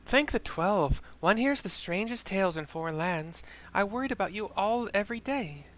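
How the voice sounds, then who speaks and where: Man putting on an affectionate motherly woman, lifted pitch. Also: expressive, normal speed, fairly bright tone, poor audio. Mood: relieved, earnest. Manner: earnest, old-fashioned formal phrasing. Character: affectionate motherly woman, worried, gently formal.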